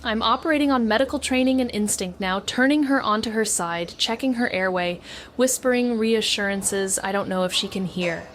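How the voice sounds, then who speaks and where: Casting: woman playing haunted young former sleuth, mid-pitched, introspective and hesitant, noir podcast drama with ambience behind. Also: normal speed, fairly bright tone, a little hiss or hum, natural voice. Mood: tense, focused. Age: young adult. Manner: hesitant, introspective, self-interrupting. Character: Mags, thoughtful haunted young woman, former teenage detective. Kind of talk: first-person noir audio drama narration.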